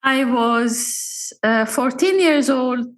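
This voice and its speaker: A middle-aged woman speaks as a podcast guest, her plain in every phrase. Her voice sits a little high, and she talks haltingly, stopping on fillers and starting her sentences again as she tells her stories. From Algeria. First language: Arabic. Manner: halting, with frequent fillers and restarts. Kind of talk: casual storytelling interview.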